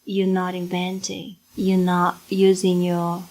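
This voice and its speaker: A woman's voice: lowish voice, completely flat delivery, slow pace, darkish tone. A middle-aged Brazilian woman with a Brazilian accent, co-hosting a casual podcast with her daughter, playful and teasing. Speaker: podcast co-host, mother. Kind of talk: casual chat.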